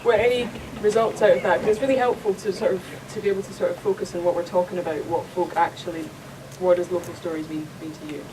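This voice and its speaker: A woman's voice: lowish voice, steady tone, fast talker, hardly any pauses, recorded from a distance, noisy background. A Scottish woman hosting a festival panel discussion, chatty and rambling with many restarts. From Scotland. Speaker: panel host. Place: conference room.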